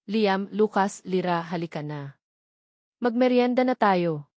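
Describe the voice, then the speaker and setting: A woman's voice: medium pitch, animated, measured pace, dry recording, clean studio sound, close to an everyday voice. Woman reading as a firm, protective Filipino resort manager. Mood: calling children. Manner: firm, pointed, quietly warning. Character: calm, protective, challenging male resort manager.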